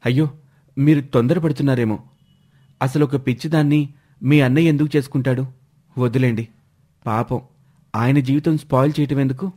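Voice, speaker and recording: A man voicing a hesitant, protective young brother who politely objects, in an animated moral story. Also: medium pitch, moderate pace, pauses often, lightly acted. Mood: startled, hesitant.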